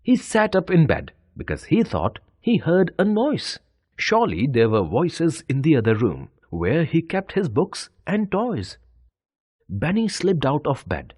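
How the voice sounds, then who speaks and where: A man narrates a children's story in a fairly high, slightly rough voice. His delivery is deliberate and expressive, with very animated intonation. The recording is dry and close. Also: no echo.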